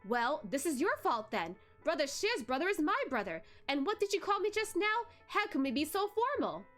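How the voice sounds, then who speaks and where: A woman voicing a cunning, playful male police chief in a storybook read-aloud, flattering and solicitous. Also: high voice, normal speed, faint music behind, pitched above the performer's own voice. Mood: hearty flattery. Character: cunning, smooth-talking, shameless young male police chief.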